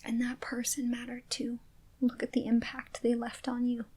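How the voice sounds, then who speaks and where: A woman speaking in a soft, gentle whisper, reassuring a listener in a comforting ASMR roleplay. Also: a little high, monotone, moderate pace, breathy edge.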